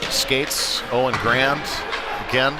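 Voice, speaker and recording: A man giving live play-by-play commentary of a youth hockey game, following the action and lifting his voice at big plays. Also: takes it slowly. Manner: running description with excited shouts at big plays. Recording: noisy arena with rumble and thuds.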